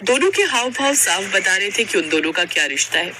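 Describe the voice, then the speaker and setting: A woman's voice: medium pitch, very animated, brisk, noisy background. A woman narrating a story in a dramatic, scripted storytelling style.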